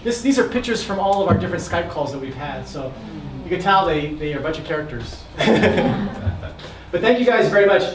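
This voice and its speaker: A man's voice: fairly high pitch, expressive, brisk, noisy background. A man moderating a community panel, upbeat and encouraging. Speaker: event moderator. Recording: very echoey hall, distant mic, crowd.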